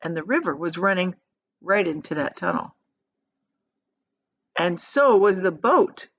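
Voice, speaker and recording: A woman's voice: lowish voice, lively intonation, a little slow, gravelly, no echo, highs cut off. A woman reading a children's story aloud in a dramatic, emphatic way. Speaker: storybook reader, teacher.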